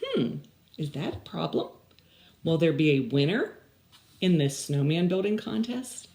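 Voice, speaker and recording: A woman voicing a young male mouse from a children's storybook, eager and animated in simple phrases. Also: low voice, a little slow, vocal fry, exaggerated voice. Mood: puzzled.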